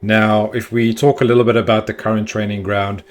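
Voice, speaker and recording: Low-voiced man hosting football fan chat. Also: flat delivery, a little fast, slight background noise. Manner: chatty, opinionated, occasional fillers. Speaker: football fan channel host. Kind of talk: casual fan news commentary.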